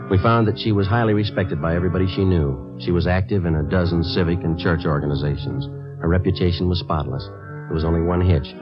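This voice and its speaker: Casting: male actor as low, deadpan American police sergeant, clipped delivery, old radio crime drama, muffled sound over music. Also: lightly acted. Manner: deadpan, terse, matter-of-fact. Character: calm, methodical male police sergeant narrating his case.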